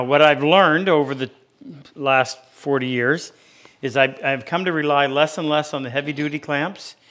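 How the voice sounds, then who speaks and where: A man's voice: medium pitch, a bit bright. A man in his fifties giving a plain-spoken, practical hands-on lesson as a woodworking instructor in a workshop. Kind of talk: hands-on instructional demonstration, unscripted explanation.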